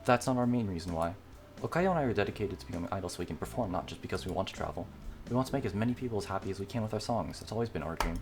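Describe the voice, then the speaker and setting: Man reading as an earnest, animated young demi-human girl. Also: low voice, rapid-fire, music in the background.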